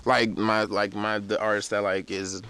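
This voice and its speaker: A young man who raps in a band, telling stories in a relaxed, rambling way on a podcast. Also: lowish voice, moderate pace, smooth. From Florida. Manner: laid-back, rambling storytelling with laughs. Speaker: rapper in a band. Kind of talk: casual interview chat.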